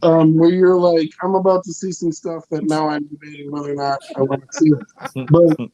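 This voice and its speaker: A man performing a loud, rambling, self-mocking stand-up set over a video call. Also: fairly high pitch, flat delivery, normal speed, dry recording, dull audio. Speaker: stand-up comic in residence.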